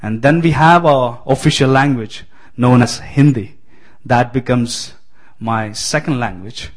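Guy from giving a sermon, lots of ums and restarts, middle pitch, friendly. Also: unhurried. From Kerala, India. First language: Malayalam. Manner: hesitant, many fillers and restarts, friendly. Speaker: guest preacher.

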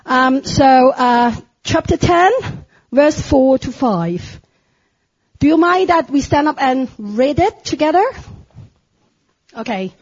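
A woman with a Chinese accent preaches with fervor, often raising her high voice to a shout. She talks slowly, with frequent fillers and searching pauses. The recording is dry and a little dull. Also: lively intonation, no room sound. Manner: impassioned, often shouting praise; halting English with many fillers. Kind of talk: sermon.

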